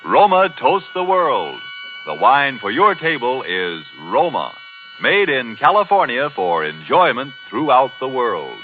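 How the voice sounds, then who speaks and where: Lively vintage radio ad man, thin sound. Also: medium pitch, unhurried, phone-quality sound. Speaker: commercial announcer. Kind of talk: 1940s radio advertising. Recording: thin old radio, faint music.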